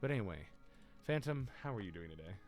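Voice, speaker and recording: A worn-out young man chats loosely as a stream host, his voice sitting low and a bit deeper than usual. He rambles and pokes fun at himself in a playful way, while soft music plays faintly behind him. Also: big pitch swings, quick pace. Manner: rambling, playful, self-mocking. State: tired and exhausted. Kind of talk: casual livestream chat.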